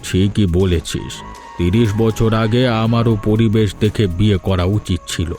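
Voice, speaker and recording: A man's voice: lowish voice, fairly even intonation, normal speed, music in the background, close to an everyday voice. A man voicing a stern, fair-minded older father in a cartoon drama, speaking in firm reproach. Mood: bitter. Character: stern, fair-minded elderly father-in-law defending his daughter-in-law. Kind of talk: dubbed cartoon dialogue.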